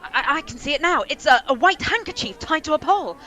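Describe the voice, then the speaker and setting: A woman playing an excitable female news reporter giving halting, dramatic eyewitness commentary in a radio play. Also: high-pitched, some chatter behind. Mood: tense, hushed. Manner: breathless, halting, stammering with alarm. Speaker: actor in a live radio play. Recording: noisy live stream with loud ambience.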